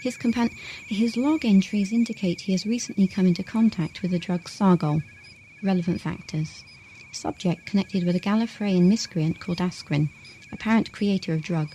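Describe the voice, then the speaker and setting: A woman playing a brisk, sardonic space station doctor in an audio drama, dry and assured. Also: medium pitch, mellow, close to an everyday voice, poor audio. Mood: clinical. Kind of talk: radio drama acting. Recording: hissy amateur 1980s audio drama tape.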